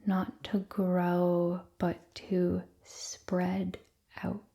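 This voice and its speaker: A woman guides a meditation in a breathy, near-whispered voice with a mellow, dark tone. Her delivery is very slow and soothing, with long pauses between phrases. The intonation stays quite flat and gentle throughout. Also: fairly low pitch.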